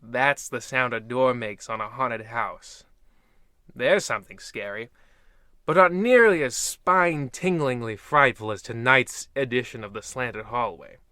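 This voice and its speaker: A theatrical male horror host played by a man in a radio play parody. His middle-pitched voice is bright and forceful in a dry studio sound, ominous and hammy while staying deadpan. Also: measured pace, smooth, no room sound. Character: hammy, eerie male horror anthology narrator, faintly dim. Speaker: narrator and host. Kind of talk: parody of old-time radio horror hosting.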